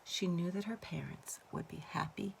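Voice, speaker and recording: A woman's voice: on the low side, moderate pace, gravelly. A woman reading a children's story aloud expressively, like a teacher to pupils. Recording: faint background noise.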